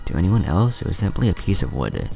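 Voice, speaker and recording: A man reading a fan fiction story aloud in a plain, steady way, with the odd slip. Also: deep voice, fast talker, creaky, faint music behind.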